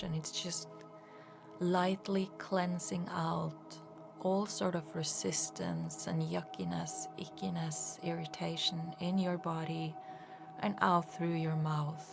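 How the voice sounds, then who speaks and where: A woman leading a guided meditation as an energy healer, speaking in a soft, soothing, unhurried way with breathy phrase endings. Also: sits low.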